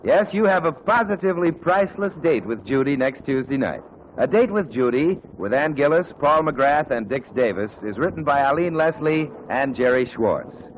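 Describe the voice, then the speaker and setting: A middle-aged man announcing a 1940s radio show and reading a commercial in a smooth, persuasive salesman style. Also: fairly high pitch, lively intonation, normal speed, slight background noise. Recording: muffled 1940s radio broadcast with music.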